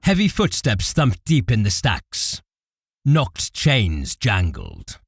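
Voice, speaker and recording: A man's voice: lowish voice, huge pitch swings, slow, gravelly, slightly rough, no room sound, clean studio sound. A man giving a dramatic, scripted narration of a fantasy war story as its narrator.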